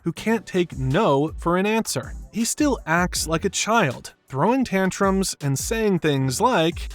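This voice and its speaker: A man's voice: high-pitched, animated, takes it slowly. A man reading scripted video-essay narration in an emphatic, opinionated way.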